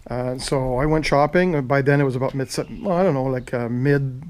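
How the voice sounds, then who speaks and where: A man's voice: mid-range voice, fairly quick, darkish tone, clean tone. A man in his fifties from Quebec with a strong French Canadian accent, French his first language, rambling casually through a home video with many fillers and restarts. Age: 50s. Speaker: retired military motorcycle owner.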